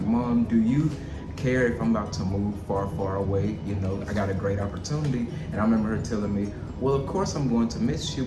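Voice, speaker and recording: Chatty Alabama-raised man in his thirties, storytime vlogger, lowish voice, echoey room with music under. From Auburn, Alabama. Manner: chatty, addresses viewers as y'all. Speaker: vlogger and chef. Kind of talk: casual storytime vlog. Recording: roomy echo with music behind.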